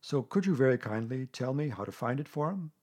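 A man voicing a humble, slow-witted, gentle bear in a children's storybook, speaking in a simple, dreamy, earnest way. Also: mid-range voice, lively intonation, husky. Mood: humble, sad.

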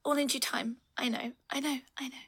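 A young woman playing a playful, bratty girlfriend in an intimate roleplay audio, expressive and halting, with a breathy voice that often drops to a whisper. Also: high-pitched, very noisy, natural voice. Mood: meek, apologetic. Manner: expressive, halting, swinging from exclamations to murmurs. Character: playful bratty adult girlfriend, affectionate and easily flustered. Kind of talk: intimate roleplay audio acting, addressed to an unseen partner.

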